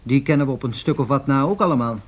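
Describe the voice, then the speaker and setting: An actor playing a decisive 1930s airline captain in a radio drama, matter-of-fact and in command. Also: male voice, medium pitch, quick pace, breathy edge, lightly acted. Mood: businesslike. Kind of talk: Dutch radio drama acting. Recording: dull, lo-fi radio recording.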